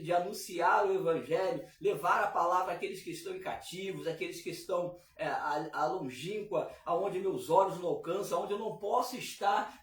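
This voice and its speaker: Hoarse man hosting gospel radio. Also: high voice. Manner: warm preacherly, many fillers and repeats. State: hoarse from a cold. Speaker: radio show host. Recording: echoey room, distant mic.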